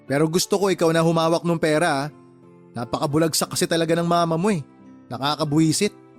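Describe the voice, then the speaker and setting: A man's voice: on the high side, fairly even intonation, fast, soft background music, natural voice. A man acting in a radio drama as a caring but easily exasperated uncle, speaking emphatically and with concern.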